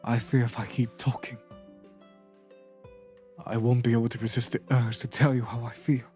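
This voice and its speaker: A man's voice: medium pitch, flat delivery, moderate pace, a little mellow, soft background music, close to an everyday voice. A man playing an earnest, lovestruck, honorable prince in a romantic theatrical fan dub, speaking tenderly. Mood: pleading.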